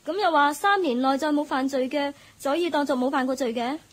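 A woman's voice: high voice, fairly quick, close to an everyday voice. A woman with a Hong Kong Cantonese accent playing a frightened, contrite schoolgirl in a radio drama, speaking meekly. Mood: subdued. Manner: meek, subdued.

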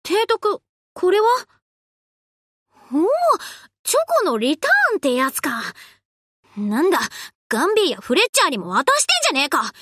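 A woman's voice: sits high, huge pitch swings, normal speed, pauses often, fairly bright tone, no echo, clean studio sound, heavily acted. A woman voicing a brash, casual, teasing young tomboy in an anime game, blunt and slangy.

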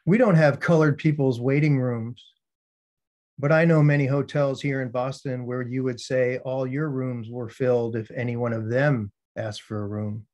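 An American man with a mid-range voice reads as a poet at a literary event. His delivery is deliberate and phrase by phrase, as one presenting his own poems. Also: medium pitch, fairly even intonation, darkish tone. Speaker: poet reading own work. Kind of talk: poetry reading with spoken introductions.

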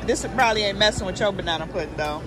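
A woman's voice: medium pitch, racing. An American woman chatting casually and informally as she films a family vlog on a handheld camera. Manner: informal, colloquial. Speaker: family vlogger, mother. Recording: background music, busy public chatter.

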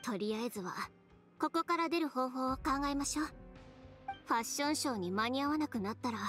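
A proud young fashion designer from an anime game. Her voice sits a little high, yet she stays cool and composed. The delivery is measured and matter-of-fact, over faint music. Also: animated, fairly bright tone. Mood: cool, resolute.

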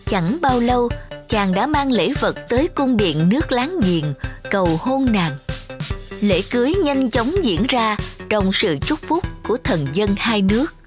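A woman's voice: a little high, big pitch swings, unhurried. A woman narrating a scripted fairy tale for children as a storyteller. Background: music.

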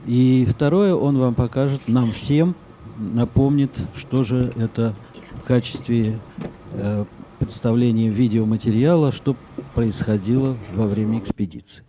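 A man moderating a conference session on a handheld mic in a large hall, pausing often with fillers. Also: mid-range voice, noisy background. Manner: hesitant, with frequent filler pauses.

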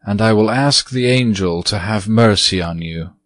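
A man doing a gentle little girl. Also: moderate pace, no room sound, lightly acted. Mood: earnest. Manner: soft, earnest, raised pitch.

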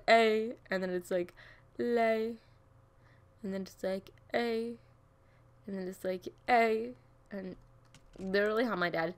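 A young woman chatting casually while playing a game, giggly and rambling, with keyboard typing nearby. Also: mid-range voice. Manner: chatty, giggly, jumps into silly voices and snatches of song. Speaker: gaming streamer. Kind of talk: casual gameplay commentary.

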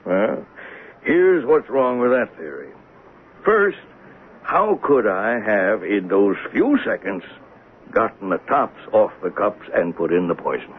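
A noisy, narrow-band old radio drama recording carries an earnest train conductor. His voice sits fairly low as he recounts a mystery reflectively and puts pointed questions. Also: sing-song, takes it slowly. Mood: defensive, earnest. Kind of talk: 1970s radio drama acting, narration and dialogue. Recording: noisy, muffled phone-like 1970s radio broadcast.

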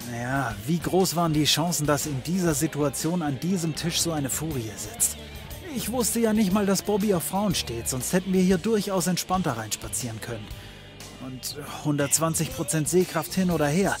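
An actor playing a wry, world-weary occult detective, dry and sardonic, in a comedy audio drama. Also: male voice, on the high side, animated, moderate pace, music in the background, lightly acted. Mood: resigned.

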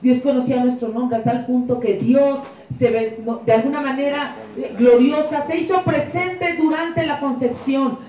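A middle-aged woman giving an inspirational church talk on a handheld mic, fervent, moving between hushed prayerful passages and soaring emphatic lines. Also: high-pitched, steady tone, normal speed, few pauses. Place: room with curtained wall, indoors. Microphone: handheld mic, filmed from a distance. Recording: echoey room, camera far off.

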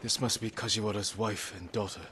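An actor playing a resolute samurai lord in a video game, speaking in a grave, measured, firm way. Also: male voice, lowish voice, steady tone, background noise, natural voice. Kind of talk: restrained dramatic game dialogue. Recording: dialogue over loud game ambience.

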